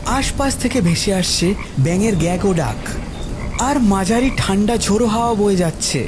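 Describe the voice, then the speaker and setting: A man's voice: high-pitched, expressive, normal speed, background noise. A man narrating a scripted horror story in the first person.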